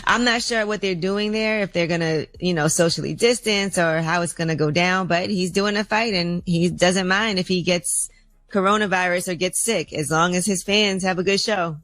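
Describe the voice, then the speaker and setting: A woman co-hosting a morning radio show, reading entertainment news and chatting in a brisk, matter-of-fact way. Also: fairly low pitch, a bit bright, no echo. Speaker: radio co-host.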